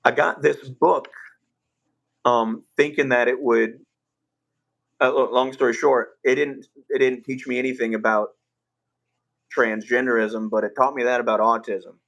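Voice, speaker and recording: Gravelly-voiced man chatting casually. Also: medium pitch, steady tone, unhurried, long pauses, a bit bright, highs cut off. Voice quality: rough, gravelly voice. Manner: rambling, with many fillers and restarts. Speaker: livestream host. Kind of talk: casual livestream chat.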